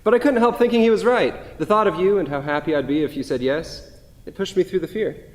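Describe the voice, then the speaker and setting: A man's voice: sits high, a little fast, close to an everyday voice. A man playing a wistful, self-deprecating, devoted husband who reminisces conversationally in a staged play reading. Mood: fond, nostalgic. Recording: long hall reverb.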